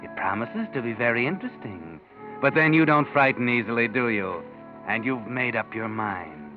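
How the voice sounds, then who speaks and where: An actor playing a sly, ominous, all-knowing narrator of a 1940s radio mystery, addressing the heroine insinuatingly. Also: male voice, mid-range voice, lively intonation, moderate pace, lightly acted. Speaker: narrator and host. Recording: tinny 1940s radio recording. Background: music.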